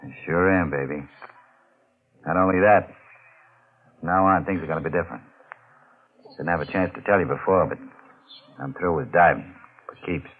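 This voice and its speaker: An American man playing a brooding, uneasy deep-sea diver in a 1940s radio drama, halting and tense with slangy tough talk, on an old recording. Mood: firm. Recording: tinny, noisy old-time radio recording.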